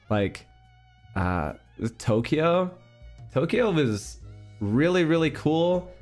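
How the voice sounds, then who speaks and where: A man streamer chatting casually with his viewers, rambling with restarts and little breathy laughs. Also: on the low side, darkish tone.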